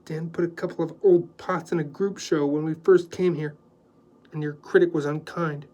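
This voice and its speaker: A man voicing a sharp-tongued, moody woman who speaks curtly and snaps at others. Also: sits high, level tone, slow, muffled recording, pitched above the performer's own voice. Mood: snappish. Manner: curt, snappish.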